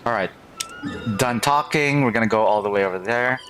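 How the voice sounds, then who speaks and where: A man's voice: lowish voice, a little fast, a bit bright, faint music behind. A man giving casual playthrough commentary as a game streamer.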